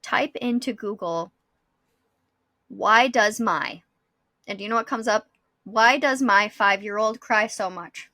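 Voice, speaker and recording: A woman podcast host in her thirties talks casually and reflectively. Her voice is bright and forward, recorded very dry. She explains things patiently, restating ideas as she thinks them through. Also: medium pitch, slow pace, pauses often, dry recording. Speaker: school psychologist.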